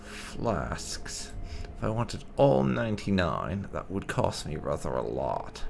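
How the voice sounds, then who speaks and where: Slow, deep, gravelly gaming host. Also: male voice, very animated, slight background noise. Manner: thinking aloud, dry and wry. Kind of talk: casual gameplay commentary.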